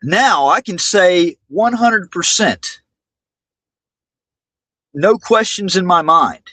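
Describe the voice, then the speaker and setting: A high-voiced man speaks indignantly to his livestream viewers. His delivery is slow and halting, with words often repeated. The sound is close and dry. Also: expressive, bright, forceful tone, no echo. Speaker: livestream host.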